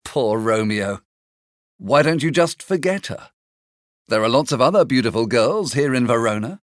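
A woman's voice: very deep voice, expressive, moderate pace, dry recording, clean studio sound, pitched below the performer's own voice. A woman voicing Benvolio, an earnest, helpful young man who explains and reassures, in a storybook reading. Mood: friendly.

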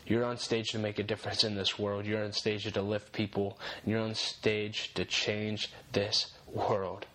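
A man's voice: fairly low pitch, monotone, measured pace, a bit bright, a little hiss or hum, poor audio. Earnest young man giving faith-based encouragement. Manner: earnest, encouraging, repeats key phrases for emphasis. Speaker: podcast host.

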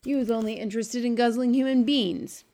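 A woman voicing a gentle, childlike male giant from a storybook, earnest and excitable, with quaint muddled grammar. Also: fairly high pitch, fairly quick, pitched above the performer's own voice, close to an everyday voice. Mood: nervous.

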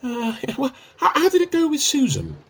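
An actor playing an evasive, sheepish husband with a dry wit in a radio play comedy, hesitant and teasing. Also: male voice, extremely high pitch, huge pitch swings, moderate pace, creaky, fairly bright tone, full character voice.

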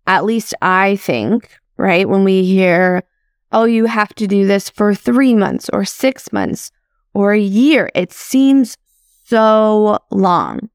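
A woman in her thirties hosts her own podcast and talks through personal reflections. She speaks in a chatty, upbeat way with casual fillers and an unhurried pace. The sound is dry and close to the mic. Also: mid-range voice, dry recording. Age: 30s. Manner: chatty and upbeat, with frequent "like" and "crazy". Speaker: podcast host.

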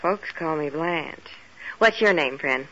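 Blanche, coy femme fatale. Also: female voice, sits low, expressive, takes it slowly, sharp tone, full character voice. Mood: coy, breezy. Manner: coy, flirtatious, hesitant. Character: Blanche, toy-sized seductive, evasive brunette femme fatale. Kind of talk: 1940s radio drama acting. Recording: muffled old radio recording.

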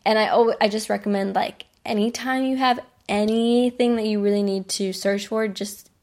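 Young American woman, podcast host, chatty and bubbly, some vocal fry. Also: medium pitch, steady tone, moderate pace, darkish tone. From United States. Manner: bubbly, chatty, laughs often. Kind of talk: casual personal storytelling.

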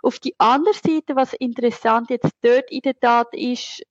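A woman's voice: fairly high pitch, moderate pace, no room sound, muffled recording. A woman from Zurich with a Zurich Swiss German accent, answering conversationally as a podcast guest who researches and teaches. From Zurich, Switzerland.

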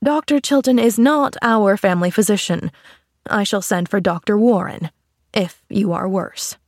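A woman's voice: low-pitched, little pitch movement, normal speed, creaky, no echo, studio quality, voice pushed down, heavily acted. A woman voicing a stern, prim middle-aged aunt in a storybook, reproving in a clipped, decisive manner. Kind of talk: storybook character acting.

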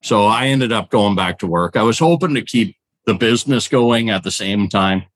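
A man's voice: on the low side, normal speed, no room sound. A man in his forties, a podcast co-host, telling his background in a rambling, conversational way with fillers. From Canada. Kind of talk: casual podcast chat.

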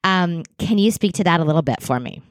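A woman in her forties hosting a podcast interview, warmly enthusiastic and encouraging toward her guest. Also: on the low side, animated, fast talker, no echo, studio quality. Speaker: podcast host.

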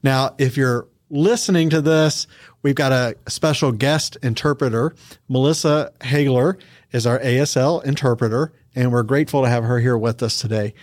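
A man's voice: mid-range voice, steady tone, moderate pace, a little mellow, dry recording, studio quality. Upbeat man introducing a church podcast. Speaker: podcast co-host introducing the episode. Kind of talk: scripted show introduction.

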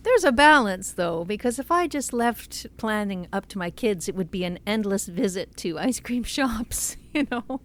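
A woman's voice: medium pitch, expressive, normal speed, few pauses. A woman interviewing a poet, asking reflective questions and hesitating now and then.

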